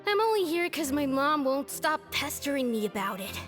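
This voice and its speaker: Young boy patient, whiny and restless, high lively read voiced by a woman. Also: normal speed, soft background music. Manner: whiny, complaining.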